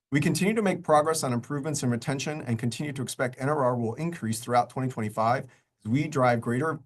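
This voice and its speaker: A man with a mid-pitched voice reads a prepared corporate earnings presentation. His delivery is formal and measured, in the businesslike way of a finance executive addressing investors. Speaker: company finance executive presenting quarterly results. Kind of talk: scripted earnings-call presentation.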